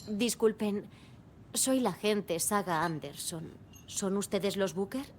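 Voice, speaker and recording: An actress playing a composed, sharp female FBI agent in a dubbed video game, speaking in a measured, businesslike, analytical way. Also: mid-range voice, animated, a little hiss or hum. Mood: calm questioning. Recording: music behind the voice.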